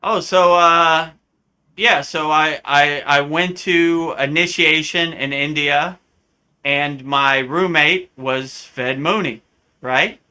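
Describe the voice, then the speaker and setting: A man from the United States, a spiritual teacher telling a story in a live Q&A, animated and often acting out the voices he quotes. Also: on the high side, slow, smooth. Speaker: spiritual teacher answering questions.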